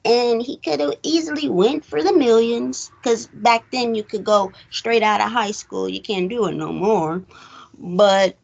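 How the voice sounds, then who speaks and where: A woman talks through a film in a loose, conversational way, like a recap host thinking aloud. Her voice sits fairly high and is full of heavy vocal fry. She rambles easily from point to point. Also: unhurried, dull audio. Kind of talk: casual film discussion.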